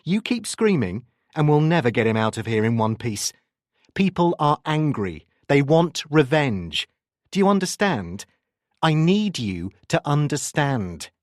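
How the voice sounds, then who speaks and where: Narrator doing a male cop in charge, brisk and firm, audiobook, dry sound. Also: mid-range voice, sing-song, no room sound, voice pushed up, close to an everyday voice. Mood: forceful ordering. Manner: brisk, authoritative, commanding. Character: firm, commanding male police detective sergeant.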